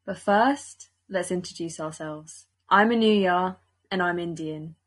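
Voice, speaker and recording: A woman's voice: lowish voice, takes it slowly, slight background noise. A young woman hosting a podcast, presenting steadily from a script. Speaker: podcast host.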